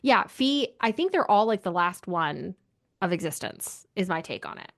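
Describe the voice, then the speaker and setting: A woman's voice: on the low side, big pitch swings, normal speed, gravelly. Casual, opinionated woman co-hosting a podcast. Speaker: book club podcast co-host. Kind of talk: casual book discussion chat.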